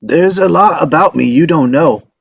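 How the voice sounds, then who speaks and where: A man's voice: on the high side, moderate pace, creaky, breathy edge, no room sound, lo-fi sound. An actor in a script table read playing an ailing old father, a stubborn, folksy teller of tall tales who dodges with jokes. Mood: playful. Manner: folksy, evasive, joking storyteller.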